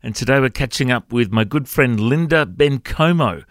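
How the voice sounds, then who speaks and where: A man's voice: medium pitch, expressive, moderate pace, a little hiss or hum. A man hosting a radio interview who asks friendly questions and uses a lot of fillers. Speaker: radio host.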